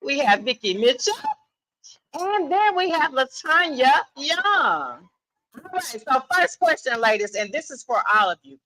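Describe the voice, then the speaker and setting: A woman's voice: sits high, big pitch swings, a little slow, sharp tone, rough recording. A woman hosting a live panel in a casual, playful and commanding way, repeating phrases for emphasis. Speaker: guest moderator and host. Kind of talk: lively unscripted event hosting, casual banter. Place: live podcast panel event.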